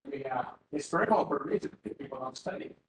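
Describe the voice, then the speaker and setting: A man's voice: mid-range voice, racing, slight background noise. A man from Finland giving an academic workshop talk, hesitant, with many fillers and restarts. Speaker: academic speaker. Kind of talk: conference talk. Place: university seminar room. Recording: roomy hall with faint ambience.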